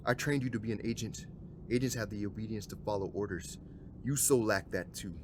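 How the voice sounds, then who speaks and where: A man's voice: fairly low pitch, a little fast, natural voice. A man playing a cold, stern middle-aged father and agency commander in an audio drama, formal and severe. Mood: cold, commanding. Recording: clear ambient noise behind voice.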